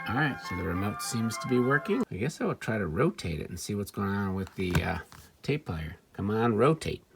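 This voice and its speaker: A man giving a casual, off-the-cuff gadget demo, wry and excitable, talking to himself as he tinkers. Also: fairly low pitch, a little fast, gravelly. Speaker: vintage electronics seller demonstrating a device. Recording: music playing behind the voice. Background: music from the player being tested.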